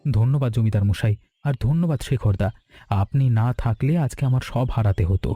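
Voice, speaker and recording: A man's voice: medium pitch, normal speed, mellow, no echo, faint music behind, lightly acted. A man playing an earnest, polite village hotel owner in a cartoon moral story. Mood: grateful.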